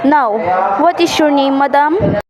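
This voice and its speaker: A woman's voice: high-pitched, a little slow, a loud crowd. A woman playing a formal, unruffled chief telephone operator in an amateur radio play, in a matter-of-fact manner. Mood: official, detached. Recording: noisy amateur recording with background ambience.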